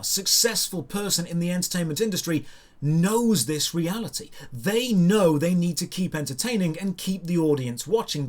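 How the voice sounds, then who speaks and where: A man delivering scripted opinion commentary as a show host, in an emphatic, rhetorical way with deliberate stresses. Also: high-pitched.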